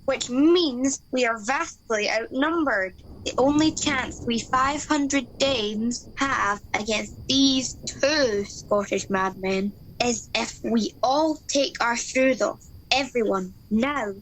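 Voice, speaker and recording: A woman's voice: fairly high pitch, slow, slight background noise, low-quality recording. A woman playing a pompous, cowardly leader of soldiers in a radio comedy. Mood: pompous, hushed.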